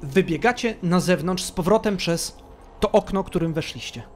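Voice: medium pitch, moderate pace, a little mellow, slight background noise. A game master narrating a tabletop roleplaying game and adding vocal sound effects.

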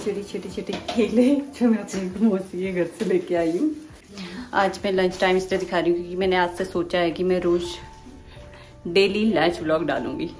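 Young female vlogger, casual and giggly, slightly low voice, background music. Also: a little mellow. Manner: chatty, giggly, talks to camera while showing food. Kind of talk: casual daily vlog chat. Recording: music playing behind.

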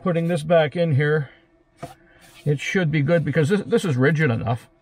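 A man's voice: on the high side, a little mellow. A Canadian man, likely in his sixties, explaining a repair in a cramped van with plenty of hesitant fillers and dry jokes. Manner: halting, many uh fillers, dry joking. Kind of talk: casual hands-on explaining. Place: small van interior, lying under a cabinet.

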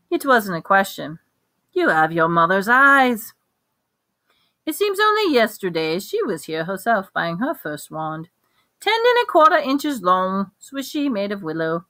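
An eccentric old man who sells wands, voiced by a woman reading a story. He drawls in a lilting way, then jabs with sudden sharp emphasis, and he probes every word with a bright, forceful tone. The sound is dry and close. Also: medium pitch, big pitch swings, a little slow, no echo. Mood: musing. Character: eccentric old male wandmaker, intense and probing.

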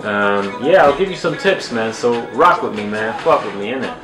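A man's voice: lowish voice, animated, moderate pace. Laid-back man in an echoey room. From Germany. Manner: laid-back, chatty, uses slang. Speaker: sneaker vlogger. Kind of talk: casual talk to camera. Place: plain indoor room at a desk. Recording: roomy echo with music behind.

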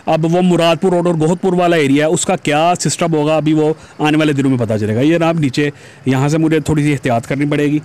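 A man's voice: a little high, steady tone, fast talker, darkish tone. A man chatting to viewers as he rides a motorbike through busy city streets, recorded on a helmet-mounted camera. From Pakistan. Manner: chatty, addresses viewers as "janab". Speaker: motovlogger. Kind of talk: casual commentary while riding. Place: outdoors on a busy city road, riding a motorbike.

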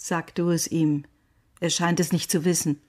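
A woman acting a stiff, solemn older mother in a radio play, her voice husky. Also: lowish voice, little pitch movement, moderate pace, a little mellow, close to an everyday voice. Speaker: actor. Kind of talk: surreal radio drama acting.

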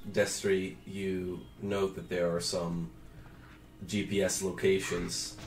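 A Dutch man with a Dutch accent, narrating a tabletop game as host and game master and chatting casually while he plays, laid-back and swearing casually. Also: deep voice. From Netherlands. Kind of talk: casual gameplay commentary.

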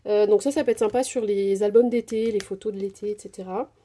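A woman's voice: medium pitch, fairly even intonation, fast talker, warm tone, a little hiss or hum. A woman casually narrating a craft supply haul at her table, chatty and hesitant with many fillers. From Portuguese descent. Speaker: craft vlogger. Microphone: camera over table, hands only.

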